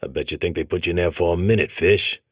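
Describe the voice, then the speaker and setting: A man's voice: sits low, fast, breathy edge, no room sound, clean studio sound. An American man voicing a comic game robot that talks like a grizzled old convict, lecturing in folksy, tough prison slang. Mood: tough, mocking. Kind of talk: comic game character monologue.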